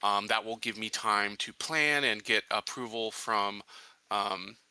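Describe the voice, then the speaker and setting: A man playing a tabletop roleplaying game, negotiating conversationally and haltingly as he puts his case. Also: fairly low pitch, bright, forceful tone, smooth, dry recording.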